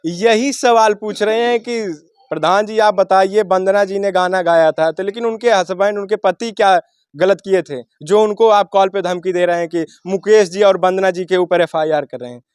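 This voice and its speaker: A man's voice: high voice, moderate pace, a little mellow, clean tone, no echo, clean studio sound. A man, speaking as a local news reporter in a rhetorical, declamatory way that addresses the viewers. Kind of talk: spoken news commentary and interview.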